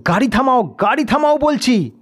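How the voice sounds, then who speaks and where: A man's voice: very high voice, animated, normal speed, pitched above the performer's own voice. A man playing a frightened young nephew who calls out pleadingly in an audio horror story. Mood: panicked shouting. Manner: shouting, pleading. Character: frightened young nephew calling out to his uncle.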